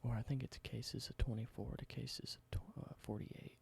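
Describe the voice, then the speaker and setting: A man doing a whispered ASMR roleplay, explaining things slowly and correcting himself now and then. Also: mid-range voice, level tone, vocal fry, breathy edge. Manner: soft whisper, slow explanations with small self-corrections. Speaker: ASMR creator.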